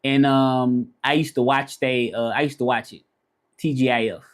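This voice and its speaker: A man's voice: mid-range voice, steady tone, moderate pace. A man in his thirties from Memphis with a Southern accent, rambling and chatting casually with viewers on a live stream. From Memphis, Tennessee. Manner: rambling, chatty, repeats himself. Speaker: vlogger answering live chat.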